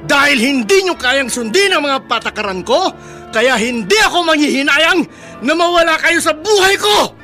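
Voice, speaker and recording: Mabagsik na koronel, napakataas ng boses. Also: male voice, extremely high pitch, lively intonation, normal speed, faint music behind, exaggerated voice. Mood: furious, defiant. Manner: commanding, angry, shouting. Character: harsh, domineering father, a proud military colonel. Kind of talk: Filipino radio drama acting.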